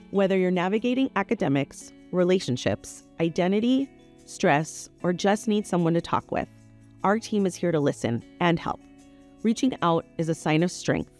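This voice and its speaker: A woman's voice: fairly low pitch, a little mellow. A woman counselor giving an earnest, reassuring scripted introduction.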